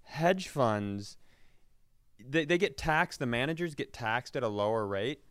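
Smooth-voiced man in casual comic banter. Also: medium pitch, expressive, unhurried, fairly bright tone, no room sound, clean studio sound. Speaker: podcast host, comedian.

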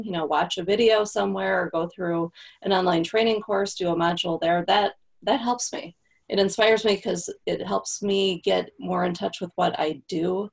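Woman with heavy vocal fry and a husky edge, chatty how-to talk. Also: on the low side. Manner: practical, explanatory, frequent "right?". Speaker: copywriting guest. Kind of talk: casual podcast interview.

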